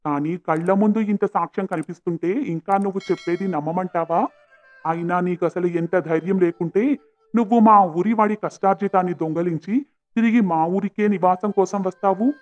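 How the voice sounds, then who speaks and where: A man's voice: sits high, normal speed, a little mellow, lo-fi sound, close to an everyday voice. Village headman voiced by a man, stern judge, firm and commanding delivery, cartoon fable over light music. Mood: stern, angry. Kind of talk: cartoon moral-story acting.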